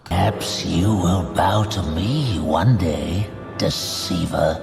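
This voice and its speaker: An actor playing a sly, defiant orc warlock in a fantasy audio drama, gravelly, measured and mocking. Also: male voice, sits low, music in the background, exaggerated voice. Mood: dark ambition.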